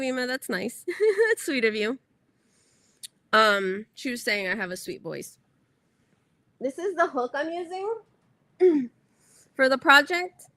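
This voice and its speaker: A woman's voice: sits high, bright, forceful tone, dry recording. A woman hosting a crochet livestream, chatting casually and rambling as she corrects herself. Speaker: crochet channel host.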